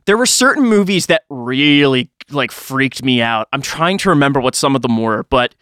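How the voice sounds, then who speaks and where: A man in his early thirties chatting casually as a podcast host, animated and self-deprecating. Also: mid-range voice, moderate pace, smooth, no echo, clean studio sound. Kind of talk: casual group chat.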